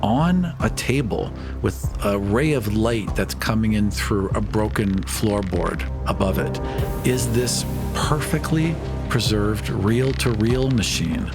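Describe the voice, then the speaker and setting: A man narrates a tabletop game as game master. His pitch rises and falls a lot as he talks, and music plays behind him. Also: animated, slow pace.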